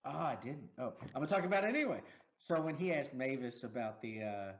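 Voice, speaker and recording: Co-host, male, lively pitch, chatty movie rundown, slightly dull audio. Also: a little fast. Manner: animated, laughs often, acts out quotes. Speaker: podcast co-host. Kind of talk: casual movie review chat.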